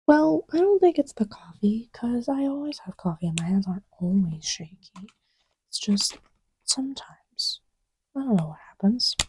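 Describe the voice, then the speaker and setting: A woman playing an easygoing girlfriend in a soft ASMR roleplay, rambling hesitantly in a breathy murmur that often drops to a whisper. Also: fairly high pitch, animated, moderate pace, dry recording, heavily acted, rough recording. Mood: relaxed, musing. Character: easygoing girlfriend chatting idly while testing markers.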